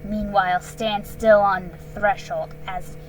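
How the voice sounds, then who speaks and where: A woman's voice: medium pitch, little pitch movement, takes it slowly, faint music behind, lightly acted. A woman voicing a menacing male wolf guarding a door, speaking in a stern, commanding way.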